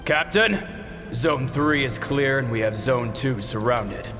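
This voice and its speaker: A man's voice: medium pitch, slow pace, close to an everyday voice. A man playing a dutiful soldier with an official, clipped manner in a dramatic video game scene. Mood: businesslike. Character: dutiful military soldier reporting to captain. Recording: long reverb with music.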